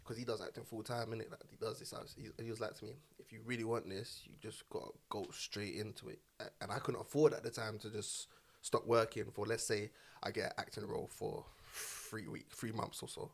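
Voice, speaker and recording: A man speaks casually among a group. His delivery is rapid, his pitch a little low, and he uses slang as he makes sure people follow what he means. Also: flat delivery, gravelly, slightly rough. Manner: slangy, checks listeners follow.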